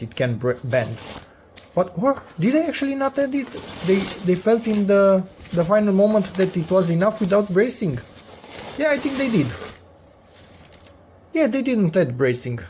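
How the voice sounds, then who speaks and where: A man's voice: high voice, expressive, normal speed, a little mellow, slight background noise. A man hosting a casual DIY vlog, thinking aloud and repeating himself for emphasis. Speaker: DIY video host. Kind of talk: casual unscripted workshop vlog commentary.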